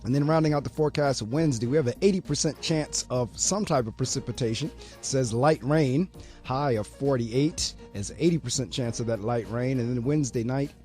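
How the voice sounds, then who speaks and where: A man's voice: mid-range voice, dark tone. A man hosting a morning radio show and reading the weather, with a slightly froggy voice, in an upbeat talkative way. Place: small college radio studio. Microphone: studio mic.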